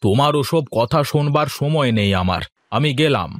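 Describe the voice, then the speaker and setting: Teasing, bossy husband played by a man, medium pitch. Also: lively intonation, moderate pace, natural voice. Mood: dismissive. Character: teasing, dismissive husband and devoted adult son. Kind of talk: cartoon voice acting, fairy-tale drama.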